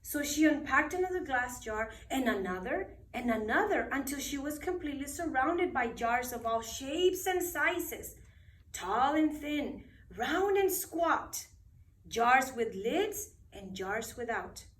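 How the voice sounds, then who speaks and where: A woman reading a bedtime story aloud expressively, with dramatic, deliberate phrasing for children. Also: high-pitched, expressive, fairly bright tone. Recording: roomy echo, distant microphone.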